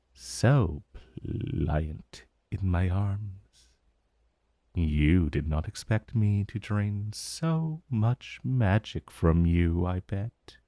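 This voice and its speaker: A man's voice: low voice, gravelly, darkish tone, dry recording, studio quality, exaggerated voice. A man playing an ancient dragon in an intimate ASMR roleplay, possessive and condescending, with a smug, purring, teasing menace. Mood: mock pity.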